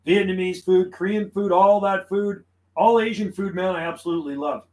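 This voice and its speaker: A man's voice: high voice, steady tone, normal speed, a little mellow, low-quality recording. A man chatting casually and making radio calls on a live stream, easygoing and friendly, often saying man and buddy. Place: radio room inside his house.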